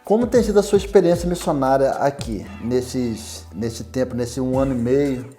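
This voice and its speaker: A man with a Brazilian accent hosting an informal interview, halting and repeating words, often ending with tags that seek agreement. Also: medium pitch, warm tone, faint music behind. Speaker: interviewer, fellow missionary.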